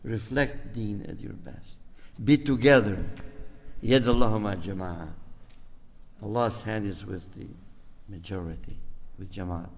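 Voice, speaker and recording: An older man from Albania with an Albanian accent, speaking as an imam in a rambling, storytelling way to a community gathering, drifting into asides and gentle exhortation. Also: lowish voice, measured pace, pauses often. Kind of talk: informal talk to a community gathering. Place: mosque community hall. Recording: echoey hall reverb.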